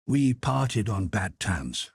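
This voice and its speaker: A man's voice: fairly low pitch, big pitch swings, slow pace, gravelly, slightly rough, no echo, pitched above the performer's own voice, exaggerated voice. A man reading a modest, earnest young man. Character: polite, earnest young man far from home.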